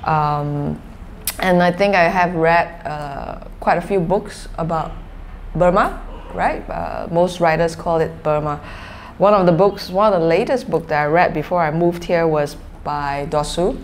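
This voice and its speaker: A woman in her forties from Malaysia with a Malaysian accent, answering interview questions conversationally in a halting, explanatory way. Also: on the low side. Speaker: interview guest, social enterprise founder. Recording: clearly noisy background ambience.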